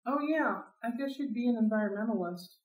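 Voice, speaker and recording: A woman reading the lines of a skeptical teenage boy hero, brisk and questioning, recorded in a roomy space from a distance. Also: a little high, a little mellow, natural voice. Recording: roomy sound, mic at a distance.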